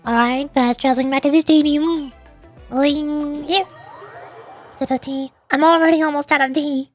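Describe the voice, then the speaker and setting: A woman with a very high voice chats casually as she streams a game. Faint music plays behind her commentary. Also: steady tone, moderate pace, dry recording.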